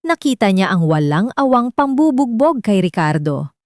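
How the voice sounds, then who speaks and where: A woman's voice: mid-range voice, big pitch swings, moderate pace, a little mellow, no room sound. Dry-sounding woman narrating solemn drama. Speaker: story narrator. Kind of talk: dramatic scripted narration of a love-story audio drama.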